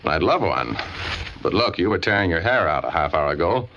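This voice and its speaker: A man's voice: sits low, sing-song, brisk. An actor playing a sardonic, slangy hard-boiled male private detective in a 1940s style radio drama. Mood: breezy, impatient. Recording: noisy, muffled old radio recording with clicks.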